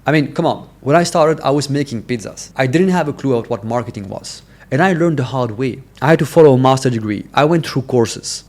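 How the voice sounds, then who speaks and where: A man whose first language is Hindi, with a Hindi and French influenced accent in English, giving an emphatic, direct sales pitch as a business coach. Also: a little mellow. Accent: non-native English, Hindi and French influenced. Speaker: agency owner and online business coach. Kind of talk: persuasive sales pitch to camera.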